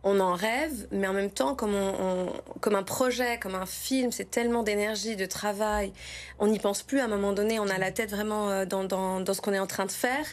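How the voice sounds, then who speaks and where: Woman, middle-aged, film director guest, mid-pitched voice, emphatic and enthusiastic, conversational interview. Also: steady tone, normal speed. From Lyon region, France. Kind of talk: spoken interview answers.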